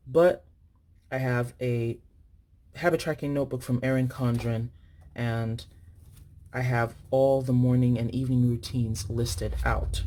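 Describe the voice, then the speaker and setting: A woman's voice: extremely low pitch, mellow. A woman from Nigeria chatting casually to her viewers while filling in her planner, thinking aloud and correcting herself as she writes. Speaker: planner vlogger.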